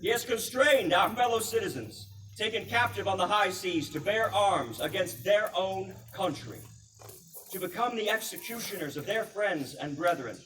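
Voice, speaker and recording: A man reenacts a solemn colonial American statesman proclaiming to the public in a high, projected voice. The delivery is formal and oratorical, with deliberate phrasing. It is picked up from a distance at a live event, with faint noise. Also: animated, a bit bright. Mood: indignant, accusing. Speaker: historical reenactor.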